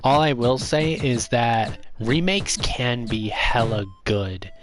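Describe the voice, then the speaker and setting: A man's voice: medium pitch, slow, faint music behind. A man streaming a video game, chatting casually and giving his opinions, with a stuffy, congested voice. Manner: relaxed, opinionated, chatty with viewers. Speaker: gaming streamer.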